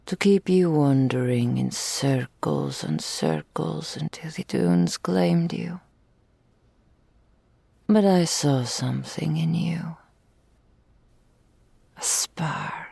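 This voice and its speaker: A woman voicing a possessive, adoring dragon in human form for an intimate ASMR roleplay, with regal, old-fashioned phrasing and a breathy, often whispered delivery. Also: sits low, mellow, no room sound, full character voice. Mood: commanding, ominous. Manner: slow, formal archaic phrasing, regal and doting. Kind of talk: intimate ASMR roleplay, scripted character acting. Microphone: close studio mic, dry.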